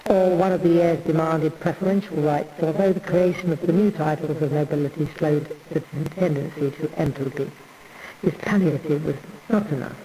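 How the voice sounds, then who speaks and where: A man's voice: a little high, rough recording. A man performing a scripted stage monologue in an ornate, declamatory, oratorical manner.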